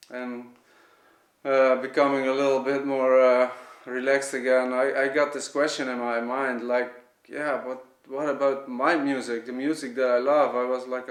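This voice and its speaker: A man with a Dutch accent, a native Dutch speaker, giving an earnest, unscripted personal testimony, often halting and searching for words. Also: medium pitch. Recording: echoey, roomy sound.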